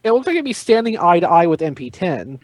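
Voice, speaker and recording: A man with a fairly high voice in a casual podcast chat. His intonation moves around a lot, and the sound is dry, with no room on it. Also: sing-song, fast talker, no room sound. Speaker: podcast panelist.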